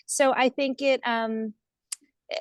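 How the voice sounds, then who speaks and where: A woman's voice: on the high side, fairly even intonation, slow pace, clean tone. An American woman speaking informally as a webinar guest, thoughtful, hesitant and self-effacing as she reflects. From United States. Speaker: guest speaker, nonprofit leader. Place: online video call.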